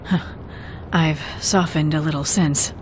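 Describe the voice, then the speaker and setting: A woman playing a weary, guarded young female ex-soldier in a video game, speaking in a restrained, grave, measured way. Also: low-pitched, steady tone, slight background noise, low-quality recording. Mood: wistful. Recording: loud music under the voice.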